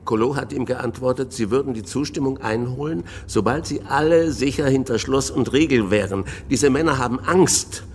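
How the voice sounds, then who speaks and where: Radio drama: male actor as grave, muted go-between, mid-pitched voice, long reverb. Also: moderate pace, hardly any pauses, slight background noise, lightly acted.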